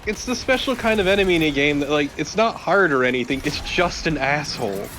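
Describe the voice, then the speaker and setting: A man's voice: on the high side, lively intonation, brisk, fairly bright tone, slight background noise. A man co-commentating on a video game in a casual, joking, exaggerating way. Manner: joking, hyperbolic ranting. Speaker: let's play co-commentator.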